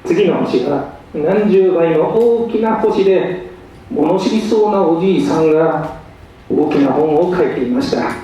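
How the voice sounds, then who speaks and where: A man narrates a stage story in a fairly high, softly mellow voice. The delivery is scripted and theatrical. He sounds far from the mic in a strongly echoing hall. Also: a little slow. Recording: distant mic in echoey hall.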